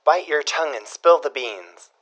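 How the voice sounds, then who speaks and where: A man's voice: fairly high pitch, animated, normal speed, a bit bright, low-quality recording. An actor playing a curious, folksy man with dry, old-fashioned turns of phrase, prying for gossip in a period audio drama.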